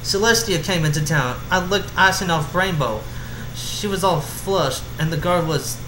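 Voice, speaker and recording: A man voicing an excitable young filly, a cartoon little-sister pony, in an echoey room. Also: fairly high pitch, moderate pace, pitched above the performer's own voice, natural voice. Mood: upset. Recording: roomy echo with clear background hum.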